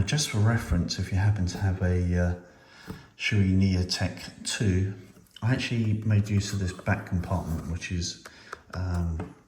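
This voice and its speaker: Deep, mellow man in a roomy space. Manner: hesitant, unscripted, thinking aloud. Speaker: motorcycle gear reviewer and host. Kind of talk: casual hands-on product demonstration. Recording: roomy echo with faint noise.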